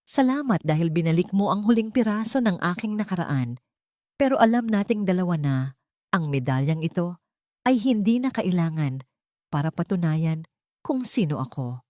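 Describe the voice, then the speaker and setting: A storyteller plays a calm, self-possessed woman in an audio story. Her clear voice sits a little low and moves expressively, recorded very dry. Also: moderate pace, no echo, lightly acted. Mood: grateful, composed. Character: calm, dignified woman freed from her past.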